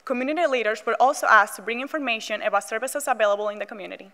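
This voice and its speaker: Teen girl from cuba reading her part at a podium, quick and bright, echoey hall. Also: fairly high pitch. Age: teens. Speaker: student presenter. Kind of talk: reading a prepared presentation. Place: large theater stage. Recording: reverberant auditorium.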